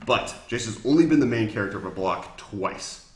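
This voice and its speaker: Lower-voiced man in an echoey room. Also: animated, a little fast. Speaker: guest explaining game lore. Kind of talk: casual chat, explanatory.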